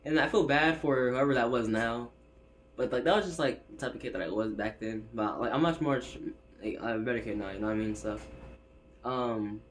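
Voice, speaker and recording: A man's voice: medium pitch, fairly quick. Teen male vlogger, stutters, rambles with fillers, phone-recorded bedroom chat, faint hiss. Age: teens. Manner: rambling, many fillers and restarts. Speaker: YouTuber. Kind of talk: casual vlog chat. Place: his bedroom. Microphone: phone set up in room.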